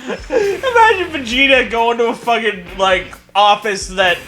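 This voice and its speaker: Young male comedy co-host, high-pitched and sharp, sarcastic riffing over faint music. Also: lively intonation, unhurried, low-quality recording. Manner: sarcastic, exaggerated, profane. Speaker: co-host commenting on fan fiction. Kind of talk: casual comic riffing. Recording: music playing behind the voice. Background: background music.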